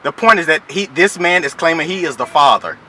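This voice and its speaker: A man's voice: on the high side, expressive, fairly quick, bright, forceful tone. A man playing a stern, threatening child support official on a prank phone call, pushy and formally polite. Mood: stern, pressing. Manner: pushy, mock-official, repeatedly polite "ma'am". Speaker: prank caller. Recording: phone call with faint noise.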